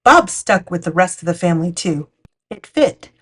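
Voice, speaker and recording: A woman's voice: fairly low pitch, very animated, normal speed, fairly bright tone, breathy edge. Woman reading a children's storybook aloud. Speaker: children's picture book read-aloud host. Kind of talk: storytime read-aloud narration.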